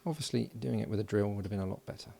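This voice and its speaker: A man talking himself through a hands-on electronics project, halting and thinking aloud with frequent restarts. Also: on the low side, creaky, dark tone, a little hiss or hum. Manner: halting, muttering, many restarts and fillers. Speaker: electronics hobbyist.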